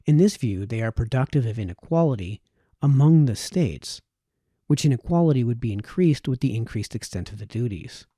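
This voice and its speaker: A man reading a formal historical text aloud in audiobook style, with measured phrasing and the occasional stumble. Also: mid-range voice, animated, dark tone, breathy edge, no echo, clean studio sound. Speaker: amateur reader of historical texts.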